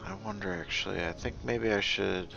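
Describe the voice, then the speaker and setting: A man giving casual gameplay commentary, thinking aloud in a halting, musing way and often trailing off mid-thought. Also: fairly low pitch, gravelly, muffled recording, music in the background. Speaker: gaming video host.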